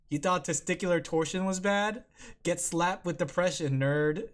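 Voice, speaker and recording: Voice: medium pitch, measured pace. A vtuber streamer who giggles often and chats playfully with viewers while drawing. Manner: giggly, playful, talks to chat. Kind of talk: casual livestream chat while drawing.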